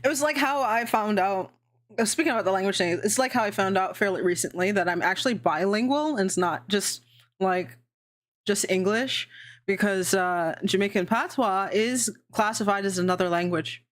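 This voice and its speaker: A woman's voice: medium pitch, moderate pace. A young adult woman hosting a casual livestream, chatting in a rambling way, swearing freely and laughing often. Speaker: VTuber streamer hosting a worldbuilding session.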